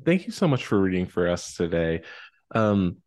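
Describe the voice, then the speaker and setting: A man with a low voice hosts an interview podcast. He speaks in a casual, conversational style. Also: big pitch swings, moderate pace, darkish tone. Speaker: podcast host.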